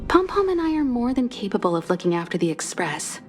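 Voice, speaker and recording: A woman playing a composed, caring mentor in a video game, speaking calmly and reflectively. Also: mid-range voice, a little fast, soft background music, lightly acted. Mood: reassuring, reflective. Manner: calm, reassuring, reflective. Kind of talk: dramatic video game voice acting.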